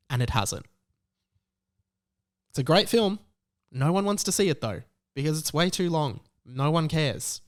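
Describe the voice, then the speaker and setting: A man hosting a solo podcast, giving conversational commentary in an emphatic, self-assured, opinionated way. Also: fairly high pitch. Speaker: podcast host.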